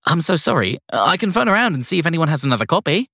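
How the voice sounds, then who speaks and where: A male narrator puts on a helpful guesthouse landlady for an audiobook. The voice is lifted high and moves briskly, courteous, cheery and quick to apologise. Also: sing-song, vocal fry, bright, forceful tone, dry recording, clean studio sound, full character voice. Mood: apologetic. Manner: solicitous, courteous.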